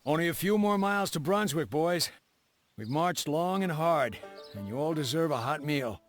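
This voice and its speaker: An actor playing a bold, gruff colonial mercenary commander in a video game, commanding and dryly sardonic. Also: male voice, a little high, expressive, normal speed, lightly acted. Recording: orchestral music behind the voice.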